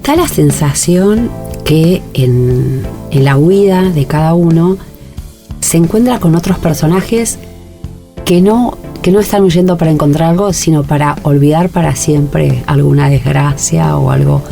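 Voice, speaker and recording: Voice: mid-range voice, a little slow, creaky, dark tone, soft background music. A podcast host asking questions in a conversational, hesitant way. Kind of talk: interview questions.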